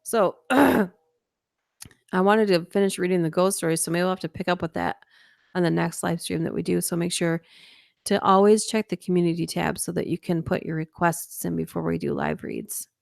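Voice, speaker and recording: A woman's voice: on the low side, gravelly, no room sound, studio quality. A woman hosting a late-night live stream, reading ghost stories aloud with chatty asides, her voice worn and tiring. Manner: steady reading voice breaking into quick laughing asides. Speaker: livestream host reading stories.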